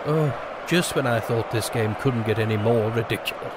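A man's voice: medium pitch, moderate pace, over a noisy crowd, heavy background noise, close to an everyday voice. A man playing a haughty, tetchy royal with an upper-class British accent in a comic audio drama. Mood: scandalised.

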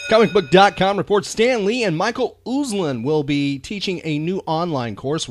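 A man's voice: a little high, no echo. A man hosting a chatty pop culture podcast, talking with enthusiasm and emphasis, often repeating phrases for effect. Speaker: podcast host.

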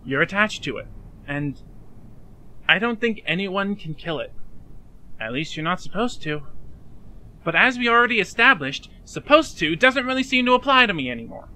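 A man playing a frightened, despairing young man in an emotional fan-dub drama, confiding haltingly and pleading. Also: sits high, very animated, pushed and bright, exaggerated voice, low-quality recording. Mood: uneasy, explaining.

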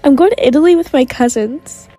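A young woman voicing a calm, attentive teenage girl on a date. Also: sits high, a little fast, soft background music, lightly acted.

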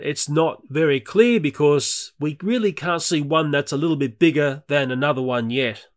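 A middle-aged Australian man narrating a nature video in a chatty, candid voice-over. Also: a little high.

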